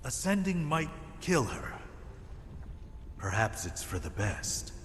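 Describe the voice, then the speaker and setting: A man's voice: mid-range voice, sing-song, slow pace. An actor playing a troubled, conflicted male warrior in a fantasy video game, grave and doubtful in his speech. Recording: long reverb, faint ambient noise.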